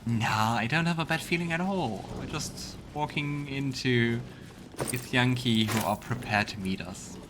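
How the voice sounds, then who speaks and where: A man's voice: medium pitch, a little slow, noisy background. A man hosting a let's play, giving casual game commentary with wry, joking asides. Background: game ambience.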